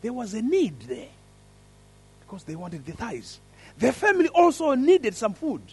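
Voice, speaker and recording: A man with an African accent preaches in a church service, his voice husky and fairly high. He tells his story with lively swings of pitch and earnest emphasis, building on key words. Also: normal speed. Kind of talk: sermon with prayer and story.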